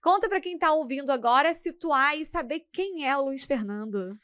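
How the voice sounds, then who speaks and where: A woman hosting a podcast interview, upbeat and encouraging, full of quick affirmations. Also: high-pitched, animated, a bit bright, no room sound. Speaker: podcast host.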